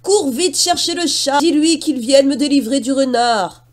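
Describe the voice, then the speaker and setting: A woman's voice: high-pitched, a little slow, voice pushed up. A woman voicing a vain, haughty male peacock in a children's story, with pompous declaiming. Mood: panicked.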